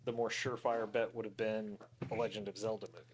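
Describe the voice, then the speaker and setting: A man's voice: fairly low pitch, little pitch movement, fast talker. A man co-hosting a podcast, chatting in a casual, joking way. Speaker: podcast co-host.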